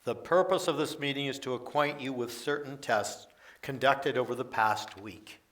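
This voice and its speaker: A man's voice: mid-range voice, moderate pace, fairly bright tone, close to an everyday voice. A man in community radio theater playing a formal official who addresses a meeting in an orderly, announcing manner. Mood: businesslike, formal. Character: formal male official chairing a solemn meeting. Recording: distant mic with crowd sounds behind.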